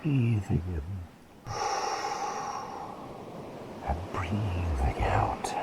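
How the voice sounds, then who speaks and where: A man voicing an eccentric, kindly plague doctor in an ASMR roleplay, whispering gently and soothingly with quaint, effusive repeated phrases. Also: very deep voice, heavy background noise, exaggerated voice. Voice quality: breathy whisper with creaky edge.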